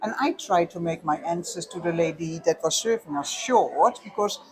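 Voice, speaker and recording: A woman's voice: lowish voice, normal speed, faint music behind. An older woman with a Dutch accent, co-hosting a casual chat and telling reflective stories in slightly broken English. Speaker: show co-host.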